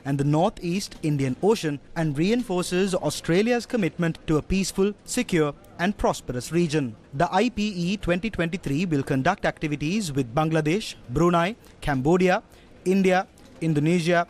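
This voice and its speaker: A man anchoring a TV news bulletin, reading a script in a steady formal manner. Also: a little high, moderate pace. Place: TV news studio.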